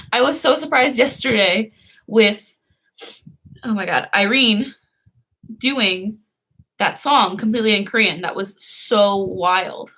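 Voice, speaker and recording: Young female streamer, casual, chatty and giggly, mid-pitched, talking to her viewers. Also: slow, long pauses, sharp tone. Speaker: live streamer. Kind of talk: casual live stream chat.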